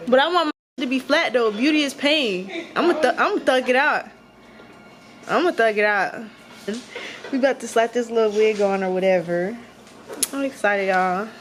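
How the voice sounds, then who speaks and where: A woman's voice: a little high, normal speed, faint music behind. A twenty-year-old woman vlogging at a hair salon, chatting casually and playfully to the camera in slang. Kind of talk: casual vlog chat to camera.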